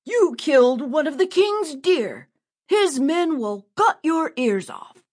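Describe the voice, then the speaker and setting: A woman voicing an alarmed outlaw man who shouts a warning. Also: high voice, sing-song, slow, pitched above the performer's own voice, heavily acted.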